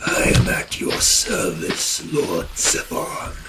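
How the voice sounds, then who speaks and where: A man voicing a bloodthirsty vampire inquisitor who serves his lord, with a breathy, hissing rasp and drawn-out menace in a fantasy audio drama. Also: close to an everyday voice. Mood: menacing deference. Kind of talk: theatrical dark fantasy audio drama acting. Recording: roomy echo. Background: music.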